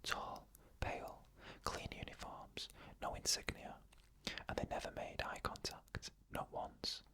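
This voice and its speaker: An ASMR storyteller reading eerie first-person stories in a fully unvoiced whisper, slow and measured, with drawn-out pauses. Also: sharp tone.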